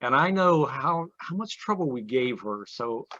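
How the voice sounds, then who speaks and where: A man's voice: medium pitch, lively intonation, measured pace, sharp tone, dull audio. A man hosting a casual interview over a video call, speaking in a friendly, conversational way. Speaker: host.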